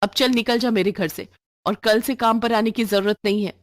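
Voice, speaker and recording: A woman voicing a well-off working female employer in a moral storybook tale, brisk, commanding and demanding. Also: fairly high pitch, steady tone, no room sound, close to an everyday voice. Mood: accusing, angry.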